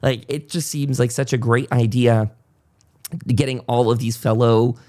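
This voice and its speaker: A man speaks as a podcast host at a middling pitch. He talks in a loose, conversational interview style, effusive and wandering as he goes. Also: fairly even intonation, a little slow, warm tone.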